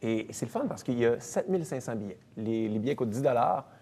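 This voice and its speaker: This is a man in his thirties with a Quebec French accent, a comedian and actor sitting in as an interview guest. He talks in a loose, chatty way and tells stories with a self-deprecating ease. His voice sits at an ordinary middle pitch for a man. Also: lively intonation, quick pace, dark tone. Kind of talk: casual interview chat.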